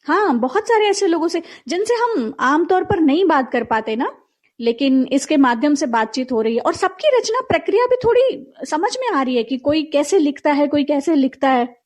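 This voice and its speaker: A woman's voice: high voice, very animated, normal speed, a little mellow. A woman hosting a casual live talk show, chatting directly with her co-host and listeners.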